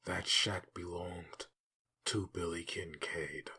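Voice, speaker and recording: A man voicing a grim, haunted undead assassin in a brooding comic dub monologue, with a raspy, gravelly growl. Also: deep voice, fairly even intonation, takes it slowly, bright, forceful tone, heavily acted. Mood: brooding, bitter.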